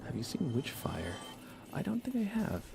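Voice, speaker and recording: A man giving soft-spoken, relaxed and unhurried ASMR commentary while he plays a video game live. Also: on the high side, gravelly, background noise. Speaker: livestreamer playing a video game.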